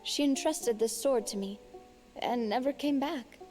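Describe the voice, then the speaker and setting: A woman's voice: on the high side, a little slow, faint music behind, lightly acted. A woman voicing a cheerful, earnest young noblewoman in a game. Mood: wistful. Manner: lively, earnest, a bit wistful. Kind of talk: game voice acting.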